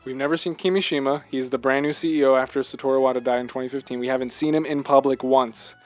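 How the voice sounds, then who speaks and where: A man co-hosting a casual video game discussion, talking in an animated, rambling way and repeating himself for emphasis. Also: mid-range voice, quick pace, soft background music. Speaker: podcast co-host.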